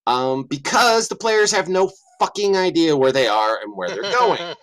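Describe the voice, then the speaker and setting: A man's voice: fairly high pitch, normal speed. A middle-aged man, a guest game master, chatting casually on a livestream call and cursing offhandedly as he hunts through his screen. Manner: lively, swears casually, mutters while searching his screen.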